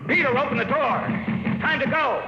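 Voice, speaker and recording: An actor playing a harried middle-aged father in a 1950s radio drama, exasperated and then shouting frantically. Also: very high-pitched, brisk, over loud music. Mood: panicked. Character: harried middle-aged father losing control of his children. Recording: dull old radio transcription.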